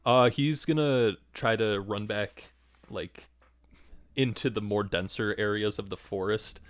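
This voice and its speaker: A man's voice: fairly low pitch, a little slow, no room sound. A man casually talking through his moves as a player in a tabletop game podcast, thinking aloud hesitantly.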